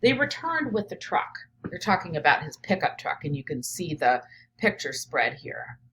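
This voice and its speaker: A woman teacher reading a picture book aloud and pausing to reflect on it out loud, often checking in with "right?". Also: low-pitched, very animated, low-quality recording. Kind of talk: read-aloud of a picture book with think-aloud commentary.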